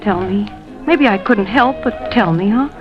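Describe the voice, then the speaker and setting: A woman's voice: medium pitch, lively intonation, a little slow, lightly acted. An actress playing a devoted, anxious young American girlfriend in a 1950s radio drama, emotional and pleading. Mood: tender, worried. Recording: dull old radio recording with music.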